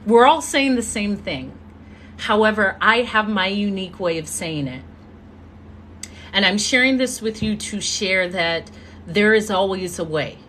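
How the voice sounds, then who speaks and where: A woman giving an earnest motivational talk as a live stream, telling her story with drawn-out pauses. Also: medium pitch, a bit bright, slight background noise. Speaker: motivational speaker. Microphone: phone or webcam mic.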